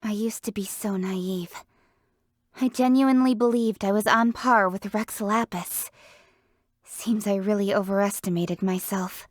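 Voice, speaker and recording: A woman's voice: medium pitch, fairly even intonation, unhurried, no echo, clean studio sound, lightly acted. An actress playing a confident, proud young woman leader in a fantasy video game, speaking in an articulate, earnest, self-assured way. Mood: subdued, regretful. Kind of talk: scripted video game character voice lines.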